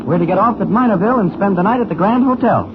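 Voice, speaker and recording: An actor playing a calm, kindly newspaper reporter in 1940s radio style, easy and avuncular with a wry touch. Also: male voice, high-pitched, fast. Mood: brisk. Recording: tinny, very noisy old radio recording.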